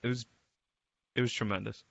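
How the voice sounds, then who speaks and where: Bright-voiced man reminiscing, dry lo-fi call. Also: medium pitch, fairly even intonation, fairly quick, vocal fry, no echo. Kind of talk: casual reminiscing chat. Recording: lo-fi band-limited online call.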